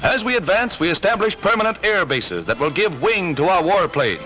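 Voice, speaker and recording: A man's voice: high voice, very animated, normal speed, low-quality recording. A man narrating a 1940s wartime newsreel in a punchy, emphatic delivery. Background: loud orchestral music.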